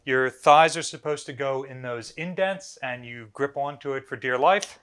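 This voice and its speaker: A man's voice: mid-range voice, moderate pace, heavy background noise. An American man in his thirties giving an informal explainer to camera as a host, casual in manner with wry, dry humour. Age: 30s. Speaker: museum video host. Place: small bare indoor room.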